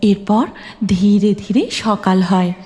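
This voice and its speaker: A woman's voice: medium pitch, fairly even intonation, takes it slowly, warm tone. A woman narrating a scripted fairy tale as a storyteller.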